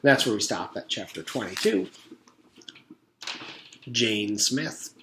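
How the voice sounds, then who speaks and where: A man's voice: fairly low pitch, very animated, slow, fairly bright tone. A man in his forties, a teacher, reading a children's story aloud with casual recaps. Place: room at home. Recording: roomy echo, background noise.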